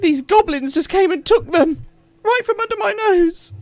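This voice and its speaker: A man voicing a frantic female peat farmer who stammers and pleads in a high, strained voice. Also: expressive, moderate pace, a little mellow, dry recording.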